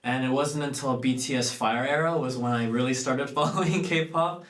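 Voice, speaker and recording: A young man from Los Angeles who sings and acts in a pop group, answering earnestly and trailing off softly at the ends of phrases. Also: mid-range voice, normal speed, roomy sound. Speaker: singer and actor in a pop group. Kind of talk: casual interview answers.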